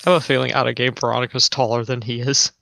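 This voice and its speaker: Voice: mid-range voice, little pitch movement, fast talker, vocal fry, a bit bright, dry recording, clean studio sound. A tabletop roleplaying player who joins in with playful, teasing banter.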